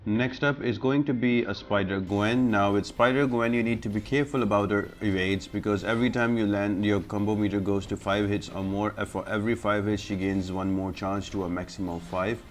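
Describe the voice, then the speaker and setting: Low-voiced man giving a game walkthrough. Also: fairly even intonation, fairly quick, hardly any pauses. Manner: instructional, step-by-step. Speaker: gaming guide host. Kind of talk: explanatory gameplay commentary.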